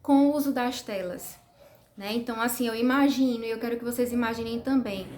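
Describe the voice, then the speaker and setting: A woman, a speech therapist explaining to parents in a didactic way, often checking in with "tá?" and slowing down to stress words. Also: a little high, fairly even intonation, a little mellow. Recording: echoey room.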